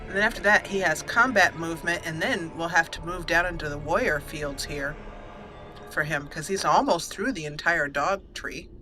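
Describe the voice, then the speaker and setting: A woman's voice: fairly low pitch, moderate pace, pushed and bright, faint music behind. A woman giving casual let's play commentary, chatty and giggly, explaining things step by step as she plays. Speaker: gaming channel host.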